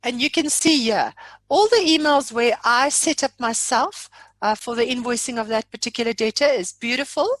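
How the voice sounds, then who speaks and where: A woman with a fairly high voice leads an informal online training session. She talks in a chatty, playful way with plenty of exaggeration as she walks attendees through software. The sound is dry and close. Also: moderate pace, dry recording, low-quality recording. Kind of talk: informal live webinar walkthrough.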